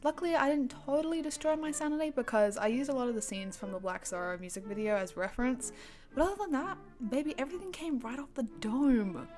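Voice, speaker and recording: A woman's voice: high voice, fast, darkish tone, faint music behind. A young woman narrating her own art project in a casual, self-deprecating voice-over, often playing up the drama. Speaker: animatic creator.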